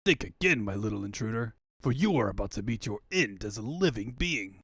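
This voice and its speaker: A man acts out a tyrannical cartoon villain. He is bombastic and menacing, with cowardice under the bluster. His pitch swings widely and the sound is dry. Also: lively intonation, fairly quick, creaky, fairly bright tone, slightly rough, dry recording, exaggerated voice. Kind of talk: comic book fan dub.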